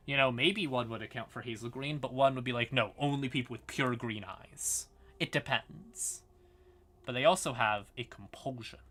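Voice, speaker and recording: A man's voice: mid-range voice, bright, forceful tone. A man hosting a livestream, explaining fantasy lore in a casual, informal manner with some swearing. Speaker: live streamer and host.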